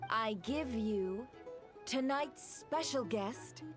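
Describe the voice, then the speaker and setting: A woman's voice: fairly high pitch, drawn-out words. A woman playing a poised, worldly society hostess in a video game, speaking in a formal, polished way. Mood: gracious, composed. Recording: music behind.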